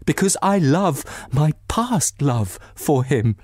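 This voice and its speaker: A man voicing a distraught, bitter betrayed wife in a novel, near tears, with anguished, halting and emphatic delivery. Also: on the high side, lively intonation, darkish tone, breathy edge, dry recording, clean studio sound, voice pushed up, exaggerated voice.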